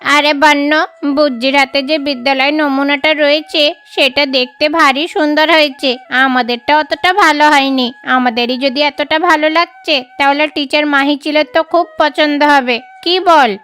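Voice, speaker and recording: A woman's voice: high-pitched, fairly even intonation, normal speed, fairly bright tone, soft background music. A woman voicing a spiteful, sly young schoolmate bird in a children's cartoon. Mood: envious, scheming. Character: spiteful, scheming young schoolmate birds, crow and parrot.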